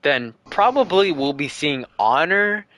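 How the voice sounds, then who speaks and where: A man's voice: a little high, lively intonation, unhurried, a bit bright. A man in his early twenties answering questions on a livestream, earnest and rambling, repeating himself as he thinks aloud. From United States. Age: 20s. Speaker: streamer. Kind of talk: casual livestream Q&A.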